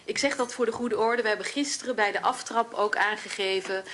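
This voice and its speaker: A woman's voice: medium pitch, fairly even intonation, moderate pace, fairly bright tone, slight background noise. A woman chairing a parliamentary session, firm but hesitating often.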